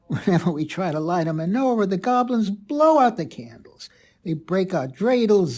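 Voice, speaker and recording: A woman playing a sad, cautioning village rabbi in a storybook reading, grave and deliberate. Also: on the low side, dark tone.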